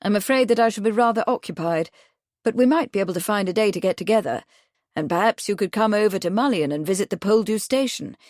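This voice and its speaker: Calm polite gentleman, as a woman reads him, measured and earnest, dry close audio. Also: medium pitch, no echo, close to an everyday voice. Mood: placating.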